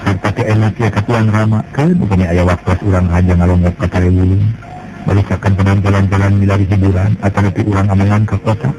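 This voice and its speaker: A male storyteller voicing a young husband who gently comforts his wife with soothing, earnest words. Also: sits low, flat delivery, fast talker, background noise, natural voice. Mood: gentle comforting. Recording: music playing behind.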